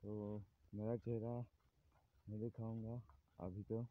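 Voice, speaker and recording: Halting man. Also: on the low side, level tone. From Assam, India. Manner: halting, searches for words; apologetic about his Hindi. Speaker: vlogger. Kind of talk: casual walking vlog narration. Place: outdoors in fields and forest, walking. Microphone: phone camera held while walking, face not shown. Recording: tinny phone-like sound with faint noise.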